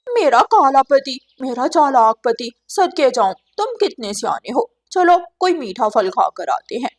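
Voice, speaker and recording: A woman's voice: high voice, very animated, unhurried, exaggerated voice. A woman voicing a doting, conniving female crow wife in a cartoon, with a fawning manner. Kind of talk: cartoon character acting.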